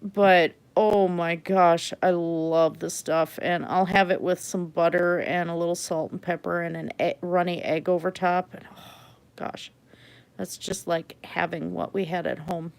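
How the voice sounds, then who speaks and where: A woman's voice: lowish voice, flat delivery, a little slow, gravelly, clean tone. Chatty middle-aged woman, German native. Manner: chatty, trails off softly, laughs often. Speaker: livestream art host. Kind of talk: casual rambling chat with viewers.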